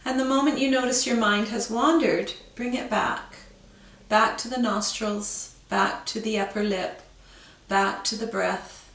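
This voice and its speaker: A woman teaching yoga, guiding a breathing meditation with slow, calm, soothing instructions. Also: fairly high pitch, reverberant, recorded from a distance.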